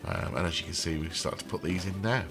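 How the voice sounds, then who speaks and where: A man's voice: deep voice, fast talker, music in the background. A man with a cold and a throaty voice, rambling with many ums as he comments casually over his game building. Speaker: channel host building a theme park in a game.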